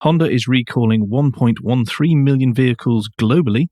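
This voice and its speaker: A man's voice: medium pitch, normal speed, dark tone, no echo, studio quality. A man co-hosting a car news podcast in a conversational way, with a wry, blunt manner. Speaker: podcast co-host.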